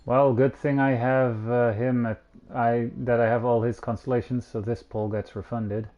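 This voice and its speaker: A man's voice: mid-range voice, little pitch movement, moderate pace, dull audio, slight background noise. A man streaming a video game, giving casual play-by-play commentary on what he does. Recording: game music behind the voice. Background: video game music.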